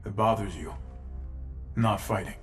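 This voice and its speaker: An actor playing a big, stoic, world-weary fighter in a video game cutscene, dry and terse. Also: male voice, on the low side, a little slow, soft background music, natural voice. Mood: weary, grave.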